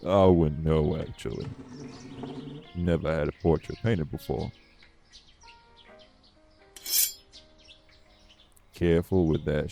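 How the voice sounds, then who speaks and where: A man playing a guarded, world-weary old vampire in an audio roleplay, speaking in an unhurried, wry, folksy drawl. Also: mellow. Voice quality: low, gravelly. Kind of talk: slow, intimate audio roleplay acting.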